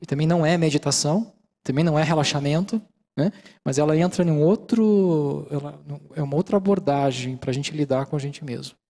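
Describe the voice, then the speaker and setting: A middle-aged man with a Brazilian accent explains his subject calmly, his voice sitting slightly high. He speaks into a handheld microphone in a large auditorium and keeps checking in with his listeners. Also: fairly quick. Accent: Brazilian Portuguese. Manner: calm, explanatory, many fillers like "né". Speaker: mindfulness lecturer. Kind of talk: relaxed lecture with audience questions.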